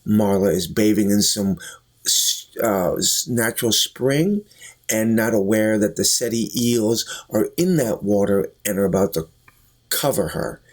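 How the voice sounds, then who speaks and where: A man with a husky voice giving an earnest, deliberate explanatory review and commentary, as a vlogger. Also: fairly low pitch. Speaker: YouTube reviewer. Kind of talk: talk-to-camera review and commentary.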